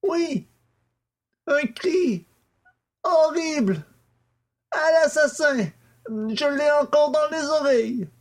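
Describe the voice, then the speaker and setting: A man's voice: very high voice, lively intonation, slow, pauses often, voice pushed up, full character voice. A man voicing an aged professor father, distressed and reproachful, in an audiobook mystery. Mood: horrified.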